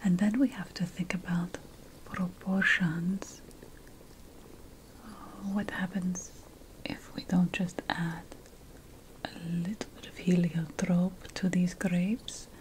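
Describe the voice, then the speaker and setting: A woman playing an ancient witch priestess, a patient and lofty potion scholar, in a hushed, deliberate ASMR fantasy roleplay. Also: fairly low pitch, level tone, a little hiss or hum, rough recording. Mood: hushed, instructive. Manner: hushed, deliberate, lingering over lists.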